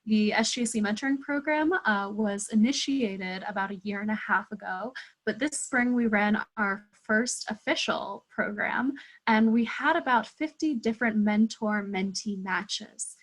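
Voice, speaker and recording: A woman's voice: medium pitch, measured pace, rough recording. A young woman hosting an online webinar from a room at home, introducing speakers in a friendly organized way. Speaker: host. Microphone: earbuds on video call.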